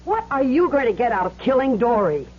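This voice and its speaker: An actress playing a tough, weary fake medium with a conscience in a seventies radio drama, speaking with emotion. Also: female voice, on the high side, sing-song, fairly quick, slight background noise. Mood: indignant. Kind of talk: 1970s radio drama acting. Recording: dull lo-fi old radio broadcast.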